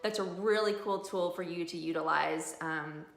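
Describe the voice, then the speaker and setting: A woman's voice: lowish voice, normal speed, creaky, heavy background noise. A middle-aged woman giving a casual, explanatory video review as a homeschooling mom. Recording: roomy indoor echo.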